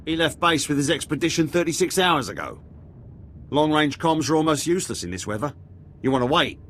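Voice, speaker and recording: A man playing a brisk, defensive military captain in a video game. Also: on the high side, very animated, fairly bright tone, slight background noise. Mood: guarded, defensive.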